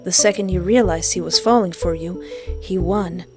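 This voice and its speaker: A woman's voice: fairly low pitch, normal speed. A woman reading a visual novel aloud expressively, with casual reactions of her own. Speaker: game player reading and reacting. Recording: music behind the voice.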